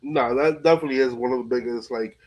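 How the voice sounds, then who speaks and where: A man's voice: mid-range voice, fast, a little mellow. A man hosting a casual interview, speaking in informal, slangy phrases peppered with filler words. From New York, USA. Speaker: podcast host interviewer.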